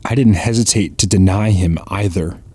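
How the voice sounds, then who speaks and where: A man's voice: lowish voice, moderate pace, darkish tone, no room sound, natural voice. A man playing a humbled, guilt-ridden fisherman disciple in an audio drama, speaking earnestly and with self-reproach. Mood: regretful.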